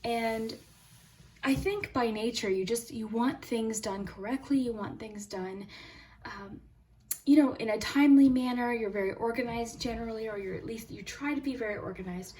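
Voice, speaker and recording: A young woman with a slightly hoarse, sore throat giving a chatty tarot reading to her viewers, friendly and soft-spoken, sometimes dropping to a whisper. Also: fairly high pitch, normal speed, a little hiss or hum. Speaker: tarot reader and channel host. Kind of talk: chatty pick-a-card tarot reading to camera.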